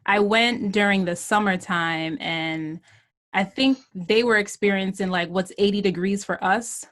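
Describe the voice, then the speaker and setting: A woman's voice: mid-range voice, fairly bright tone. Chatty upbeat woman podcast host. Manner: chatty and upbeat, quick to laugh. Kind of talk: casual interview chat.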